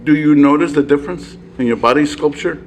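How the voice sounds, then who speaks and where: A man's voice: a little high, a little fast, slight background noise. Chatty upbeat man interviewing. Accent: South Texas. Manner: chatty, upbeat, laughs often. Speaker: sports magazine interviewer. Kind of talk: casual interview.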